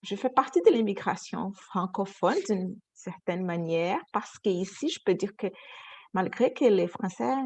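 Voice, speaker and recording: A woman's voice: mid-range voice, animated, a little slow, mellow, husky, no echo. A woman from Brazil, speaking carefully on a video call panel. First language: Portuguese. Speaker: works for a cultural council. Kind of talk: panel testimony.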